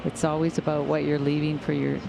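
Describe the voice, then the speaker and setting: A woman with a low voice analyses the play, laying out each shot option and what it leaves for the other side. loud background noise sits under her broadcast audio. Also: level tone, a little fast, vocal fry. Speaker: colour commentator. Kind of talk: sports analysis.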